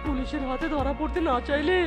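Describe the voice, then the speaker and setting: A woman's voice: high-pitched, fast, natural voice. A woman voicing a flirty, fretful male animal mango-seller in a cartoon, with singsong, exclamatory delivery. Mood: panicked. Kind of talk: cartoon voice acting. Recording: light cartoon music behind voice.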